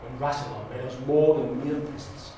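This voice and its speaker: A man playing a reflective, solemn orator in a measured, formal and dramatic audition monologue. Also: medium pitch, close to an everyday voice. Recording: very echoey room, distant mic, faint noise.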